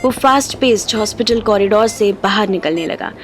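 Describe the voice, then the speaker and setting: A woman's voice: mid-range voice, moderate pace. A woman reading scripted narration as the storyteller of an audio drama. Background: background music.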